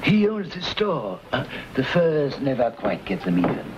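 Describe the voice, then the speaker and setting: An actor in his sixties playing a gentle but unafraid old frontier priest. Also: mid-range voice, very animated, a little slow. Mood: confiding. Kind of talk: 1950s Western screen acting. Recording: dull, hissy vintage film soundtrack.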